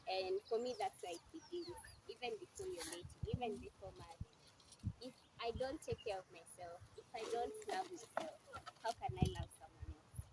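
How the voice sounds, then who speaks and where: Animated, high-pitched Kenyan woman in her twenties. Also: moderate pace. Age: late 20s. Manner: encouraging, addresses listeners directly. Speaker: speaker at a women's talk session. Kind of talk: motivational talk to a group. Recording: clearly noisy live group recording.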